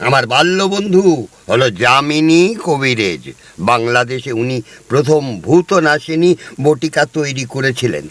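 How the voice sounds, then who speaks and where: A man's voice: mid-range voice, a little slow, fairly bright tone, dry recording, natural voice. A man playing a smug, superstitious busybody neighbour in a comic audio drama, emphatic and knowing in his insinuating advice. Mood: pushy assurance.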